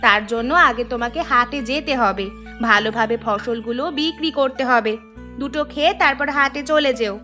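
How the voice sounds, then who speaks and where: A woman acts a contented, no-nonsense village wife and mother in a cartoon. Her voice is sharp, bright and fairly high, caring even as she scolds, over music. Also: normal speed. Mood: bossy. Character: firm, reassuring, contented village housewife and mother. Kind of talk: Bengali cartoon voice acting.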